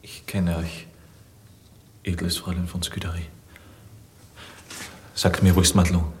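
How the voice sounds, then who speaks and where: A stoic, devoted young prisoner with a low voice. He speaks gravely and with measured defiance, in old-fashioned German radio drama style. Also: little pitch movement, darkish tone, a little hiss or hum. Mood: grave, guarded. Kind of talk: 1950s German radio play acting.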